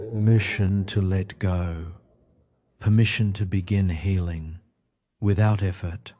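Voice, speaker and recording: A man narrating a gentle guided sleep meditation in soft, soothing, unhurried phrases with frequent pauses. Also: low-pitched.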